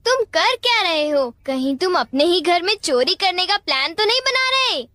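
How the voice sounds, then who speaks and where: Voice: extremely high pitch, very animated, moderate pace, no echo, full character voice. An actor voicing a chirpy, well-meaning robot cat sidekick in a Hindi cartoon dub, chatty and helpful. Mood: curious, concerned. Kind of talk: Hindi-dubbed cartoon acting. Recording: loud music under the voice.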